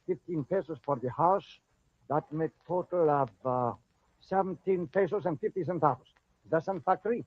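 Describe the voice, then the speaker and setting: An actor playing a matter-of-fact property appraiser in an old-time radio drama. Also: male voice, a little high, normal speed, close to an everyday voice. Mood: businesslike. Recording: thin tinny old radio recording.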